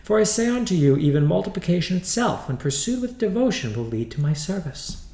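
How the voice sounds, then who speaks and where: A man voicing a sly, gentle goddess in a storybook reading, with sing-song, persuasive phrasing. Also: sits high, sing-song, fairly quick, roomy sound, voice pushed up. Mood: coaxing. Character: sly, gentle, forgiving goddess of everything else.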